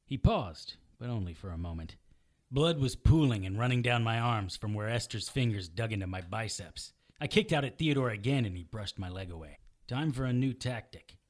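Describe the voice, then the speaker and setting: A man's voice: on the low side, lively intonation, normal speed, close to an everyday voice. A man playing a hard-boiled hero, narrating a violent fight in terse, matter-of-fact first person for an audio drama. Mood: tense, grim.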